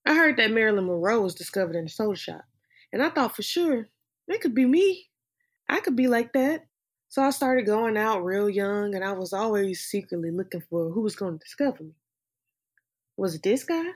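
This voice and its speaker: A woman performing a film monologue as a wistful dreamer recalling lost hopes of stardom, in a reflective, drawn-out delivery. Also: mid-range voice, animated, lightly acted. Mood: hopeful. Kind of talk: dramatic film monologue reading.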